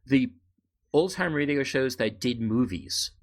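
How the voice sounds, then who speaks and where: A man chats casually as a podcast co-host at a middling pitch. He feels his way through sentences haltingly and slips in dry jokes as he goes. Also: sing-song. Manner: joking, halting. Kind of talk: casual conversational podcast chat.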